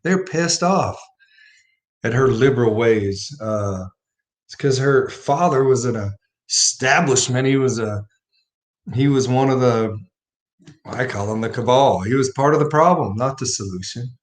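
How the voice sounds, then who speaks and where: A man's voice: mid-range voice, unhurried. A man hosting a livestream, talking casually about faith and telling a personal story with rising emphasis. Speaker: livestream host.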